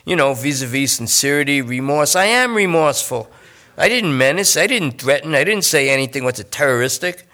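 A man voicing a jittery, talkative male junkie who rambles and pleads in fast bursts. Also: on the high side, animated, bright, forceful tone, voice pushed up. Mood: pleading.

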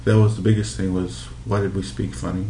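Middle-aged male interviewee from Hawaii, relaxed dark tone, casually telling memories, roomy sound with faint noise. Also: sits low, brisk. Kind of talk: casual oral history interview, reminiscing. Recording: echoey room with background noise.

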